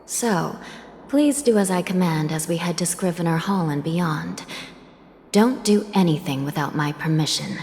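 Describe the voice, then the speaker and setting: A woman's voice: on the low side, a little slow, a little mellow, slight background noise. An actress voicing a solemn young female judge of the underworld in a video game, polite and formal, with wistful, ominous delivery. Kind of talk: scripted video game dialogue. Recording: long hall reverb, music behind.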